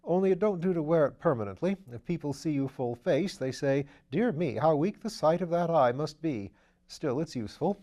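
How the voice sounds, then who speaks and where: A lively upper-class gentleman detective, as a male reader puts him on, pitched a bit above a mellow natural voice. He talks in brisk, eager bursts of posh slang. It is an audiobook character voice. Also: natural voice. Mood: breezy. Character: breezy aristocratic English gentleman sleuth, man.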